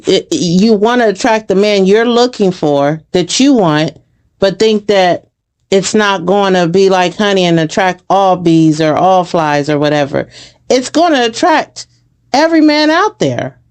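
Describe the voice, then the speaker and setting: A woman's voice: mid-range voice, lively intonation, a little slow, a little mellow. A woman in casual, opinionated conversation, reflecting as she goes and feeling for the right phrase.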